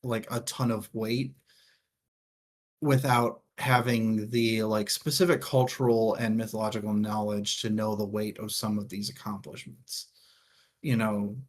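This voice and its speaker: A man co-hosting a casual book discussion, speaking in a halting way as he thinks aloud. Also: lowish voice, flat delivery. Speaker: co-host of a book discussion show.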